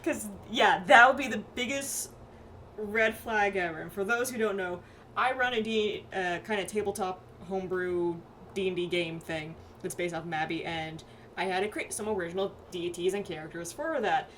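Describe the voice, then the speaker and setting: A woman streaming a game with casual, animated, playful commentary among friends. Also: mid-range voice, normal speed. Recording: faint background noise.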